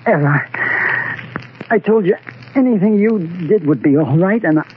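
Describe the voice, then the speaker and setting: An actor playing a doomed young American tough guy, tender and resigned, speaking haltingly in a 1940s radio drama. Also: high-pitched, animated. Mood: tender, fading. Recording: muffled, noisy old radio recording.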